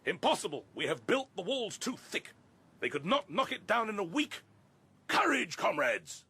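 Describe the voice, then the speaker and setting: A man's voice: high voice, sing-song, normal speed, bright, forceful tone, husky, exaggerated voice. An actor playing a commanding, bombastic male pig leader in a radio drama, declaiming pompously. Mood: defiant.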